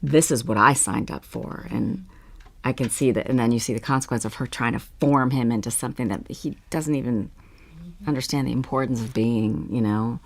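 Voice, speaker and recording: A woman on a discussion panel, talking casually and personally, thinking aloud in a halting, effusive way. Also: rumbling low.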